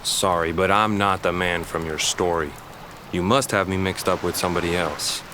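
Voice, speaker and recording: A man's voice: deep voice, fairly even intonation, moderate pace, background noise, lightly acted. An actor voicing an earnest young island fisherman in a video game, speaking in a plain, colloquial way. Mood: defensive. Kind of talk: scripted video game voice acting. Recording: loud game music behind the voice. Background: loud music.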